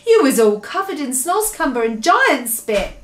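A woman voicing a gentle, kindly male giant from a storybook, earnest and innocent, with mangled grammar. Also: medium pitch, animated, moderate pace, echoey room, a little hiss or hum, natural voice. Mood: tender, earnest.

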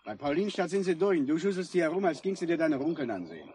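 An officious militia patrolman, acted by a man, scolds impatiently in a slightly high, very animated voice. It sounds like 1950s radio drama on an old mono recording with faint noise. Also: fast talker, close to an everyday voice. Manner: scolding, dutiful.

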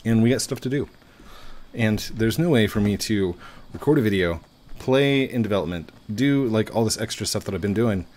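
A man's voice: on the low side, expressive, normal speed, darkish tone, a little hiss or hum. A man in his late thirties, rambling and joking at himself while commenting on his gameplay into a headset mic. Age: 30s. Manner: rambling, self-mocking, chatty. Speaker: gaming YouTuber. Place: home room at a desk.

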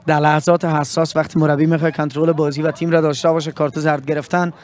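A man's voice: on the high side, little pitch movement. A young man with an Afghan Dari accent, a sports presenter interviewing after a match and signing off with a handheld mic outdoors. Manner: formal, fluent broadcaster delivery. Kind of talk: post-match interview and sign-off. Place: outdoor pitchside sponsor backdrop.

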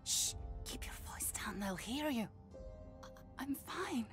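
A woman playing a worried, protective captive mother in a video game, hushed and pleading. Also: a little high, big pitch swings, takes it slowly, in a whisper, exaggerated voice. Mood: hushed relief. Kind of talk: video game cutscene acting. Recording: music behind the voice.

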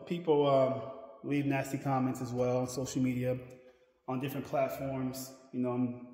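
A man in his thirties talks casually to a camera held at arm's length, wandering through a large indoor gym. His voice sits at a middling pitch, and he rambles, often restarting phrases. The room is roomy and echoey, with a long reverb trailing each line. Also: darkish tone. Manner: rambling, repeats himself while walking around. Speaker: fitness vlogger. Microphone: handheld camera at arm's length.

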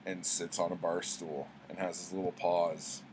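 Low-voiced man chatting casually, slightly noisy recording. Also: flat delivery, moderate pace. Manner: profane, slangy, conversational. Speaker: book vlogger. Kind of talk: casual chat, rambling storytelling. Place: small indoor room at home with bookshelves.

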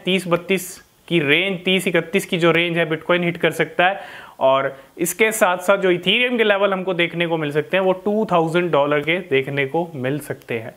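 A man's voice: sits high, fairly bright tone. A man in his thirties with an Indian accent, giving informal market commentary to camera in an explanatory way, often checking in with short tag questions. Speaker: crypto vlogger. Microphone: lapel mic.

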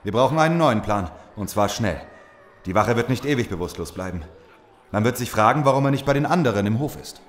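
A man's voice with wide swings of pitch, an actor playing a determined, distrustful survivor in a radio play. Faint noise sits behind him. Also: animated, fairly quick, close to an everyday voice. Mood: urgent, planning. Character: determined, distrustful male survivor, the group's planner. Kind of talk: German audio drama acting.

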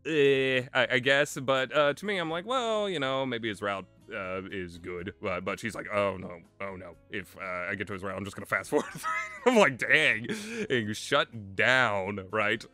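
A man's voice: fairly low pitch, pushed and bright. A man giving casual, rambling, opinionated commentary as a video game reviewer, speaking conversationally and with emphasis. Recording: music playing under the voice. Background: background music.

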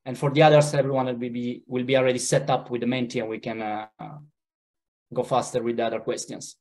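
A man with an Italian accent hosts an online webinar in a relaxed way, pausing often with "uh" fillers. He speaks over a video call from a home office. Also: mid-range voice. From Italy. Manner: relaxed, hesitant, with frequent "uh" fillers. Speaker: webinar host.

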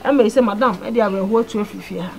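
A woman's voice: mid-range voice, very fast, gravelly, natural voice. A woman with a Ghanaian accent playing an insistent talker in a drama dialogue. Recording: noisy ambient location sound.

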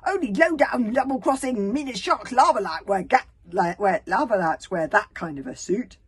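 Storybook Viking chief, played by a woman: huge, fierce father, loud and blustering, pitched high in full bellow. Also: animated, normal speed, slightly rough, dry recording. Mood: furious. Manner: booming, blustering.

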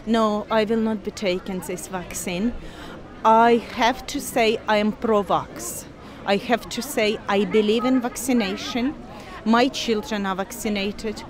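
A woman in her forties, originally from Ukraine, speaks English with a Ukrainian accent in an outdoor park interview, earnest and measured with frequent pauses. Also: medium pitch, fairly even intonation, crowd noise. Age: 40s. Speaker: interviewee, scientist. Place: park outdoors, people milling behind.

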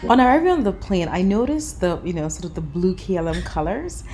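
A woman's voice: fairly low pitch, sing-song, fairly quick, dark tone, faint music behind. A woman in her thirties, talking casually and upbeat as a travel vlogger, full of little fillers. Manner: chatty, upbeat, lots of fillers like "you know". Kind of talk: casual vlog chat and voice-over review. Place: airport lounge, indoors. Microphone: camera held close at arm's length.

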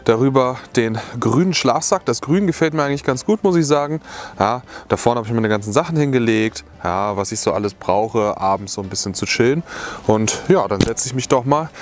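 A man's voice: mid-range voice, expressive, a little fast. A young man vlogging outdoors from under a tarp, chatty and rambling, with lots of filler words, talking to a close camera. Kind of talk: casual chat to camera.

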